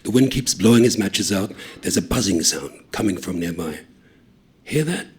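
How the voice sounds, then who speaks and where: A man giving an expressive, animated reading of a short story, voicing each character. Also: low voice, brisk, slightly rough. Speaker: story reader.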